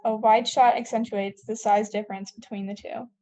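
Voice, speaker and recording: A woman's voice: a little high, little pitch movement, moderate pace, mellow, low-quality recording. A woman narrating scripted stage directions for a table read.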